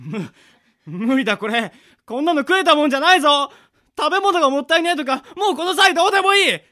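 A man's voice: very high voice, animated, pushed and bright, full character voice. A man playing a panicky young man who protests and exclaims, in a comedy radio drama. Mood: panicked protest. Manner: exclaiming, quick to object. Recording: background music under the voice.

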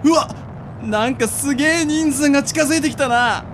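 A man's voice: extremely high pitch, normal speed, noisy background. A man playing a blunt, sardonic young cake seller in a radio drama. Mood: exasperated. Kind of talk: amateur Japanese radio drama acting.

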